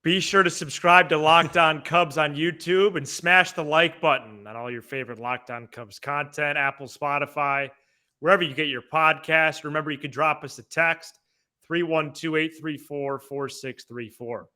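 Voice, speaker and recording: Guy cohosting a sports pod, kinda higher voice, bright and punchy, chatty. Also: fairly even intonation, normal speed. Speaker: sports podcast co-host. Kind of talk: casual sports talk.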